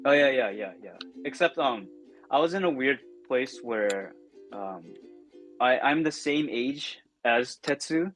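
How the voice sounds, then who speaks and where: A man's voice: mid-range voice, expressive, unhurried, a bit bright, faint music behind. A man, co-hosting a podcast in casual chat, rambling with many fillers and restarts. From United States. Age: 20s. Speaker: podcast co-host.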